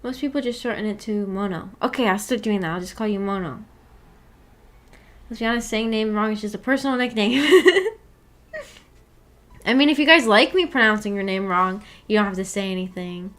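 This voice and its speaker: A woman's voice: medium pitch, moderate pace, fairly bright tone. A woman hosting a book club livestream, chatting casually and reading out viewer comments in a giggly, rambling, self-deprecating way. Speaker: livestream host of a visual novel book club.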